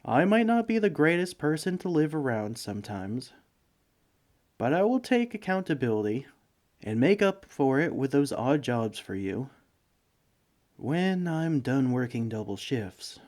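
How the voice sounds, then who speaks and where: Male actor as bumbling supervillain, mid-pitched, sheepish, hesitant, pleading, self-justifying, comedic audio roleplay. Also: sing-song, unhurried, darkish tone. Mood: exasperated. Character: broke, bumbling, sheepish male supervillain neighbor begging for sugar. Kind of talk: comedic ASMR roleplay monologue.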